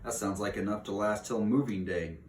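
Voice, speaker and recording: A man's voice: lowish voice, brisk, a little mellow, close to an everyday voice. A man voicing a confident, eager young boy mouse in a storybook reading. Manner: eager, authoritative. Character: strong, quick young boy mouse, eldest brother, confident. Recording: roomy echo, clear background hiss.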